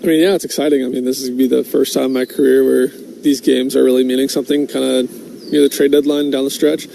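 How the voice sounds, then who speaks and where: A young pitcher in his twenties with a gravelly, flat, mellow voice who answers haltingly, full of "I mean" and "I think." He speaks outdoors, with light ambient noise and birds behind him. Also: poor audio. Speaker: pro baseball pitcher as guest. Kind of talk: casual interview answers.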